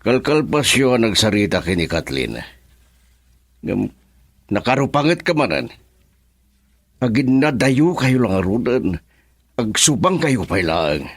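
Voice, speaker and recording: Stern father with swooping pitch. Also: sing-song, unhurried, pauses often, dry recording, clean studio sound. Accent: Filipino. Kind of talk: Ilocano radio drama acting.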